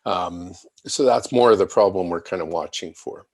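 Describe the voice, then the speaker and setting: Guy w a low voice walking thru charts like an analyst on a call, measured, thinking out loud, dry sound no room. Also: dry recording. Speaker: financial market analyst presenting. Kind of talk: unscripted chart commentary on a conference call.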